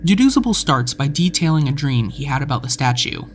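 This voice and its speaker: A man's voice: a little high, sing-song, a little fast, slight background noise. A man narrating a scripted video essay about an internet horror story. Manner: reads quoted messages with rising intensity.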